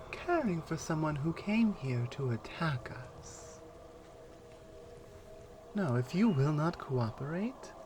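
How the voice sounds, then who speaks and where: Woman voicing stern Amazonian warrior, ASMR roleplay, measured and commanding, deliberate pauses, slow pace, lively intonation. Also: low voice, a little hiss or hum. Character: proud stern Amazonian warrior woman guarding an enemy prisoner.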